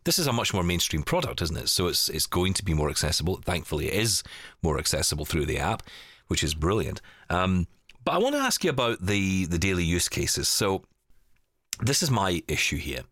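A man's voice: deep voice, sing-song, fairly quick, fairly bright tone, no room sound, clean studio sound. A man co-hosting a podcast, thinking aloud in a conversational way as he puts questions to a guest. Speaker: podcast co-host. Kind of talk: casual chat.

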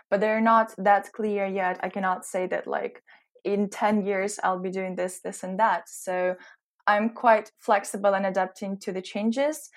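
A young woman in her twenties answers an interviewer's questions in a reflective, unhurried way. Her accent blends British and American sounds. She often pauses and hunts for the right word, with her voice sitting at an ordinary middle pitch. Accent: mixed British and American. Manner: hesitant, searching for words. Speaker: interview guest, recent graduate.

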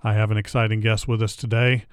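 A man's voice: on the low side, flat delivery, fast talker, smooth, no echo. A man hosting a podcast interview, chatty and curious, often restarting his phrases. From Indiana area. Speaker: podcast host. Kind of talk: casual interview.

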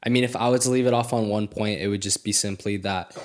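Low, flat-voiced male podcast host. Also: fast talker. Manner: affirming, reflective, frequent "mm-hmm". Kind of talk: casual faith conversation.

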